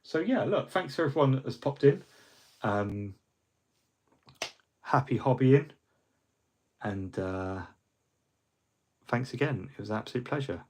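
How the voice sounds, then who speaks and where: A man's voice: mid-range voice, animated, a little slow, pauses often, darkish tone, a little hiss or hum. A middle-aged man, hosting a hobby livestream in a rambling, friendly way, full of ums and restarts, a little fluey. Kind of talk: casual livestream chat.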